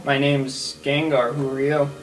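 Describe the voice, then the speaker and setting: A man playing a laconic, guarded mercenary assassin in a tabletop game, with a deadpan manner. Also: medium pitch, measured pace, soft background music, natural voice, low-quality recording. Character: laconic mercenary assassin who enjoys killing.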